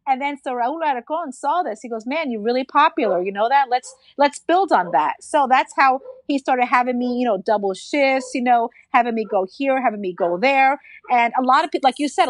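A woman's voice: high-pitched, no room sound. A woman from New Jersey, a former radio traffic reporter, telling stories in an interview, acting out quotes and laughing often. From New Jersey, USA. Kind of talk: casual interview storytelling.

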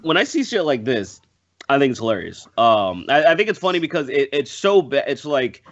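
A man's voice: mid-range voice, sing-song, brisk, highs cut off. A man chatting casually as a podcast guest, emphatic and profane, often repeating himself. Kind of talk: casual panel chat.

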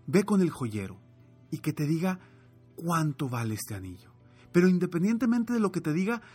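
Storybook wise master, acted by a male narrator: calm, solemn, measured, slightly husky, over music. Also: on the high side, exaggerated voice.

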